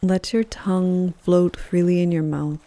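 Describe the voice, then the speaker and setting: Slow, mellow woman guiding meditation. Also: lowish voice, no echo. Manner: calm, gentle, measured, with soft pauses between phrases. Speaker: yoga nidra teacher, meditation guide. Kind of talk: guided meditation, soothing scripted narration.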